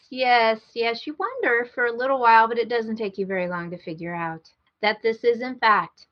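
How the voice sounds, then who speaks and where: A woman's voice: a little high, highs cut off. A woman co-hosting a podcast in casual, unscripted chat, hesitating and repeating words for emphasis as she gives a recommendation. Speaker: podcast co-host giving a recommendation.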